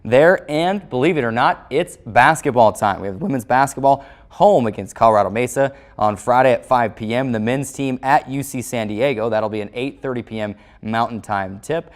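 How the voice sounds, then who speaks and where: A man with an American accent presenting a sports show in a scripted announcer style, as its host. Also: medium pitch. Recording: music playing under the voice. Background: background music.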